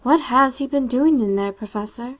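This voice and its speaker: Woman as a high-voiced fussy housekeeper. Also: normal speed, background noise, natural voice. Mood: worried, ominous. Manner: fussy, dramatic. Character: scolding, worried housekeeper, fearful and superstitious. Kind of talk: old-time radio drama acting.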